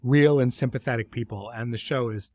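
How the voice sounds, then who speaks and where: A man's voice: mid-range voice, a little fast, darkish tone, no room sound. Guy hosting a podcast chat, thoughtful, stops and restarts, really dry sound. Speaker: host, series creator. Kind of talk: reflective conversational interview.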